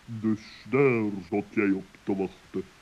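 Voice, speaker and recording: A man's voice: fairly low pitch, fairly even intonation, takes it slowly, a little mellow. A man playing a pompous, loyal owl in a children's radio play, speaking in wordy, quaint phrases on a muffled old recording. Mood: disapproving. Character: pompous, loyal male owl in a forest tale.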